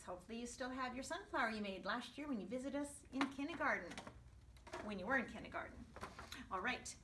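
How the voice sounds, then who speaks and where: A woman reads a children's story in a bright, high voice, explaining things to her young listeners as she goes. She is expressive and teacherly. The room echoes and the microphone sits some way off. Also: distant mic, slight background noise. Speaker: first grade teacher reading to her class. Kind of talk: storybook read-aloud for young children with asides.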